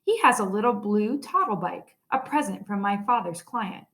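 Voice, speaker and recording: A woman's voice: mid-range voice, animated, normal speed, a bit bright. A woman teacher reading a children's story aloud expressively, stressing key words.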